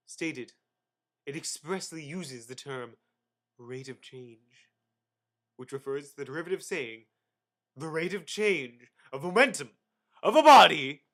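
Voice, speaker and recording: A man giving an amateur dramatic reading of encyclopedic text, mock-grandiose, with sudden emphatic swells and theatrical pauses. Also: fairly high pitch. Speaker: amateur reader.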